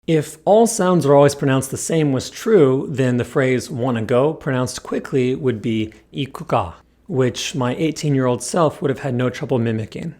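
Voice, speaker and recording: A man narrating a scripted pronunciation lesson, explaining clearly and demonstrating sounds with care. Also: mid-range voice, a little mellow. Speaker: language teacher.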